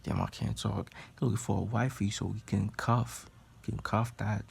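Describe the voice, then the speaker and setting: A man's voice: on the low side, fairly even intonation, normal speed, creaky. A man hosting a reaction video, making laid-back, slangy, mumbled remarks. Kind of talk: casual reaction commentary.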